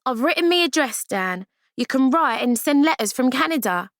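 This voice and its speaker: A woman's voice: high voice, expressive, moderate pace, a bit bright, dry recording, studio quality, voice pushed up. Woman doing a devoted working-class girl. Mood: earnest. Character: working-class girl, loyal and warm-hearted.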